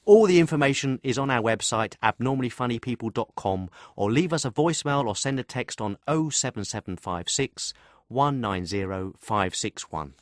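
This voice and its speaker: A man co-hosting a comedy podcast who wraps up the show in a brisk, upbeat way. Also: mid-range voice, animated, dry recording, clean studio sound. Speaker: co-host. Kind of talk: wrapping up a podcast.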